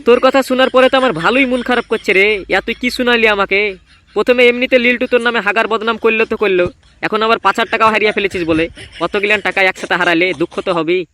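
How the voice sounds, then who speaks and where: A man voicing a streetwise young gang sidekick in a comedy cartoon, reassuring and gently chiding. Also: high-pitched, fairly even intonation, rapid-fire. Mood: consoling. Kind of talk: cartoon voice acting.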